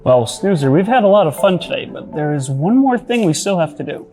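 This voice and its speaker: A woman's voice: fairly low pitch, sing-song, brisk. A woman presenting an educational children's show in an explanatory, encouraging manner. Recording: music behind the voice.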